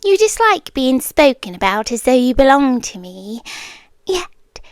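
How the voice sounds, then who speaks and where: A British woman voicing a possessive, coaxing scorpion captor in an intimate ASMR roleplay, teasing and deliberate, often half-whispering. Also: high voice, big pitch swings, dry recording. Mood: amused, possessive.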